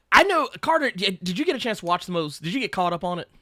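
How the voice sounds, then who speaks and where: A man of thirty-nine hosting a casual chat, talking in halting bursts and repeating words as he gets going. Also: sits high, very animated, bright, forceful tone, no room sound, clean studio sound. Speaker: host.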